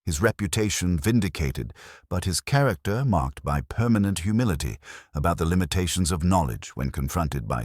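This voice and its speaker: A man narrating a scripted mystery story in a measured, formal storytelling manner. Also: a bass voice, no echo, clean studio sound. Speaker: audiobook narrator.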